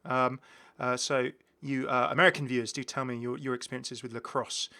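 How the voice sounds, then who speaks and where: A man's voice: mid-range voice, flat delivery, fairly quick, bright, forceful tone, clean tone. A British man giving casual, enthusiastic game commentary, rambling and often correcting himself as he goes. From United Kingdom. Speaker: gaming video host.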